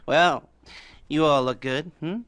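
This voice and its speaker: A man's voice: fairly high pitch, takes it slowly, a bit bright, slight background noise. An actor playing a sardonic male heist mastermind who briefs his crew with wheezy chuckles and sarcastic asides. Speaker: heist planner.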